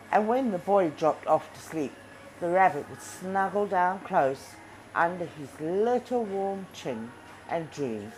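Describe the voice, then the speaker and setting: A woman narrating a children's story from a script, in a measured, expressive read-aloud manner. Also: low voice, a little hiss or hum, low-quality recording. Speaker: storybook reader.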